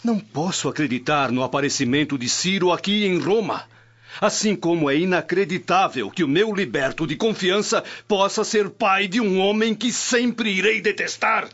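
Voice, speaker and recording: A man's voice: sits high, moderate pace, fairly bright tone. A man acting a proud, anguished Roman nobleman in a radio drama, speaking formally and emphatically. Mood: anguished, indignant. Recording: dull lo-fi audio, long reverb, music behind.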